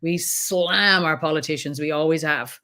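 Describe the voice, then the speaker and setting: A woman with an Irish accent, a trauma expert talking as a guest in an interview, blunt and animated, swearing freely. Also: deep voice, normal speed. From Ireland. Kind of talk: podcast interview conversation.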